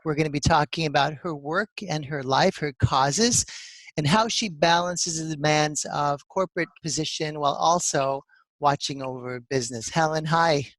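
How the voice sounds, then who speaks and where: A man's voice: a little high, normal speed, a bit bright, no echo. A man hosting an interview show, enthusiastic and encouraging as he introduces and questions his guest. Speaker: podcast host.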